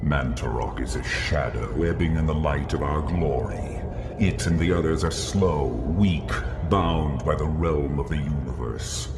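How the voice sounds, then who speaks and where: Immensely deep, contemptuous ancient god. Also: male voice, music in the background. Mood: cold contempt. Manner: pompous, slow archaic declamation. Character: vast male ancient god, contemptuous, grandiose, menacing. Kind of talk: scripted video game villain acting. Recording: heavy rumbling noise around the voice.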